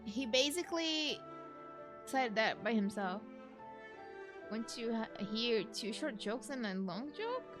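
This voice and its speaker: A woman's voice: fairly high pitch, sing-song, takes it slowly, long pauses. A woman doing casual, chatty and rambling let's play commentary as a gaming streamer. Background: faint game music.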